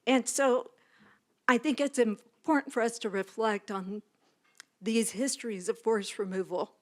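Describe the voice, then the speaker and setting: A woman professor giving a formal land acknowledgement at a graduation ceremony, in a measured, earnest delivery that is partly read aloud. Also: on the high side, fairly even intonation.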